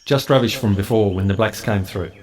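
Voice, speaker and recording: A man's voice: on the low side, brisk, a little mellow, natural voice. An actor in a radio play playing a terse rural man, speaking in an offhand, dismissive way.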